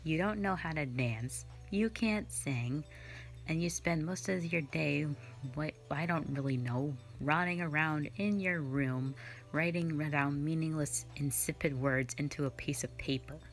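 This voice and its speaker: A woman's voice: low voice, a little slow, faint music behind. A woman voicing a cold, sardonic female slayer who is not human, talking in a dismissive, mocking, blunt way in an audio roleplay drama. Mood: scornful.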